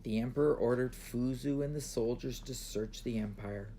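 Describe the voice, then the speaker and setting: A man's voice: mid-range voice, normal speed, mellow, slight background noise. A man reading his own children's book aloud as a storybook narrator.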